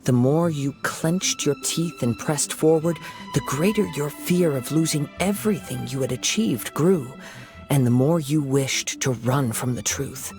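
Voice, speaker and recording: An actor voicing a calm, perceptive young wandering samurai in a video game, with a measured, soothing delivery. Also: male voice, fairly high pitch, music in the background.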